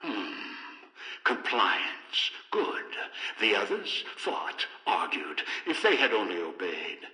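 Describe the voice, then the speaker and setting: An older actor playing a cold, paranoid, scheming old man in a video game, with deliberate, halting, contemptuous delivery. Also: on the low side, big pitch swings, pushed and bright, reverberant, long reverb tail, heavily acted, poor audio. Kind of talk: dramatic video game voice acting.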